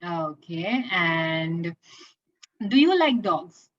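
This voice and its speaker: A woman's voice: lowish voice, sing-song, extremely slow, fairly bright tone. A woman hosting a children's read-aloud session, chatting casually and encouraging with questions over a lo-fi video call. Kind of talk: casual conversational chat with a child. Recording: dull lo-fi online call audio.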